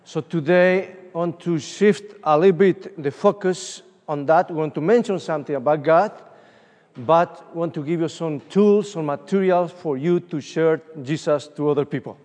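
A middle-aged evangelist from Uruguay speaks English with a Spanish accent, his voice sitting a little high for a man. He tells stories in an animated way and often pauses on "uh". The recording sounds distant, with long reverb from a large hall. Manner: animated, storytelling, frequent fillers. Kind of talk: conference sermon with personal stories. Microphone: room microphone from a distance.

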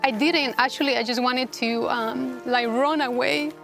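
Woman, fairly high voice, halting earnest telling, music underneath. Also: steady tone, vocal fry. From Costa Rica. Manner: halting, earnest, many restarts. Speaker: guest. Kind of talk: personal testimony interview.

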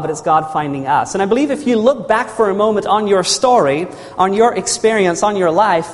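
Earnest preacher man in a reverberant hall. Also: sits high. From New Zealand. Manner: earnest storytelling preaching, repeats phrases, calls for amens. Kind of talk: sermon. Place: church hall. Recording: long reverb of a large hall.

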